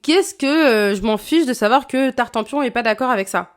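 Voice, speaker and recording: A woman's voice: fairly high pitch, animated, moderate pace. A woman in her late twenties, a YouTuber answering viewer questions in a frank, chatty way, with many fillers and restarts. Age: late 20s. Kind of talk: casual Q&A vlog.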